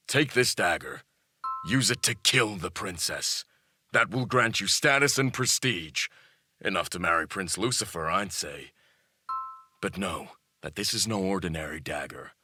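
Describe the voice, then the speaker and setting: A man playing a sly, tempting fairy of the wood in a theatrical fan-dub play, with a knowing, coaxing manner. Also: lowish voice. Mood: coaxing, ominous.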